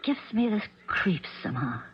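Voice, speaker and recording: An American woman playing an uneasy, solicitous stepmother in a 1970s radio drama, speaking gently and hesitantly. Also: medium pitch, sing-song, a little fast, husky. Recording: muffled 1970s radio recording.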